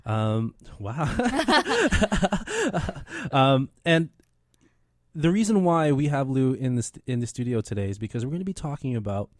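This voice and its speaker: A man in his thirties hosts a casual community radio chat, rambling along with lots of ums and false starts. His voice sits at a middle pitch and is caught close and dry on a studio mic. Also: huge pitch swings, unhurried, no echo. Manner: rambling, many fillers and restarts. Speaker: radio host.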